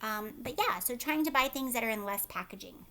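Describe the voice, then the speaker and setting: Female teacher, higher-pitched voice, children's read-aloud with explanatory asides, instructive and inclusive of young listeners. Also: expressive, brisk, a bit bright. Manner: instructive, checks in with listeners using simple questions. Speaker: teacher reading a picture book aloud to children.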